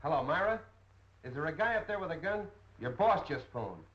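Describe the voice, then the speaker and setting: An actor playing a brusque, no-nonsense police detective with a curt, businesslike delivery, in 1930s film style on an old muffled soundtrack. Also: male voice, on the high side, very animated, a little fast. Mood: brisk, curt.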